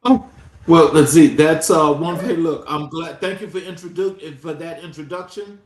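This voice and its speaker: Male show host, high voice, emphatic and dramatic, echoey room. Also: moderate pace. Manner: dramatic, emphatic intro delivery. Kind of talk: interview show hosting.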